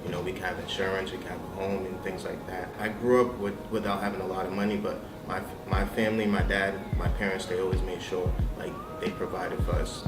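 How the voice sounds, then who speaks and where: A man's voice: low-pitched, little pitch movement, a little fast, hardly any pauses. A young man from Massachusetts, an entrepreneur, speaking earnestly and reflectively in a profile interview with hesitant restarts. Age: young adult. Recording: echoey room, distant mic, loud music bed. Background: loud background music.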